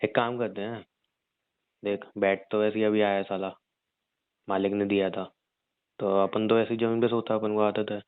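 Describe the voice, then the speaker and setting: A man playing a rough young gangster husband in an audio drama, crude and teasing yet protective, talking in slang. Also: fairly low pitch, flat delivery, normal speed, pauses often, no room sound, natural voice. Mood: reassuring. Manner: slangy, crude, mild swearing. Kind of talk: audio roleplay drama, conversational. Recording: narrow phone-like dry sound.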